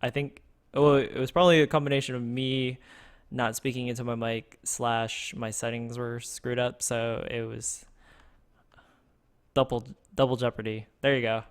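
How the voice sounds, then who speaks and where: Man streaming, smooth mid voice. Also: mid-range voice, level tone. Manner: thinking aloud, halting with fillers. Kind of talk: casual gameplay streaming. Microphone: close desk microphone.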